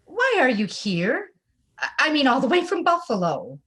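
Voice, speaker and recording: A woman's voice: high-pitched, huge pitch swings, moderate pace, fairly bright tone, breathy edge, exaggerated voice. A woman playing a stubborn, defensive mother of a grown son in a live play reading over a video call, indignant and exclamatory. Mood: flustered surprise.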